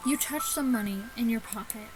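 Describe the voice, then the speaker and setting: A woman hosting a gaming video, reading a visual novel aloud in a casual, halting way with stumbles and asides. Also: on the high side, over music, rough recording. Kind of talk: casual chat and read-aloud let's play.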